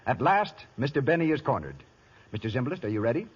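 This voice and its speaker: A man's voice: mid-range voice, very animated, quick pace, slightly rough. An American man announcing an old radio theater broadcast in a formal, grandiloquent scripted style. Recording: dull old radio recording.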